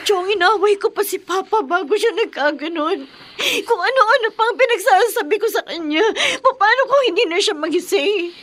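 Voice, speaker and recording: Radio drama daughter: very high, bright voice, anguished, weary, pleading. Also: female voice, expressive, moderate pace. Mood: tearful, guilty. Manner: emotional, pleading. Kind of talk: melodramatic radio drama acting.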